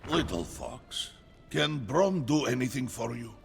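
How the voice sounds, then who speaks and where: A man's voice: mid-range voice, animated, measured pace, creaky, faint music behind. A man playing a big-hearted giant warrior in a fantasy game, jovial and kindly in a grand way. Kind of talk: cinematic fantasy game acting.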